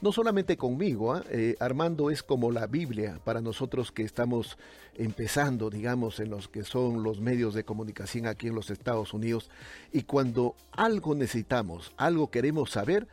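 A man's voice: mid-range voice, moderate pace, a little mellow. A man hosting a radio interview show, talking with many fillers and restarts.

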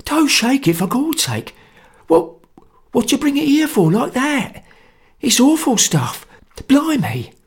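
A man voicing a panicky, cowardly male anarchist, stammering and squeaking in fright. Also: sing-song, measured pace, husky, heavily acted. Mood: terrified.

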